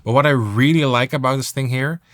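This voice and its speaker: A man presenting a software tutorial on music production in a casual, enthusiastic and emphatic way. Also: mid-range voice, a little fast.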